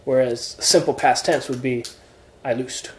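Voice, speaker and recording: A man's voice: medium pitch, fairly even intonation, measured pace, reverberant, a little hiss or hum. A man teaching introductory Greek grammar in an informal lesson, explaining patiently step by step with examples. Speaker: Greek tutor.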